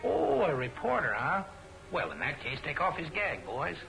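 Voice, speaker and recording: An actor playing a sarcastic, menacing racketeer boss with mock-polite manners, in 1940s film serial style. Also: male voice, a little high, wildly swooping pitch, moderate pace, creaky, sharp tone, full character voice. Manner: mock-polite, drawn out, threatening. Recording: old film soundtrack with music behind.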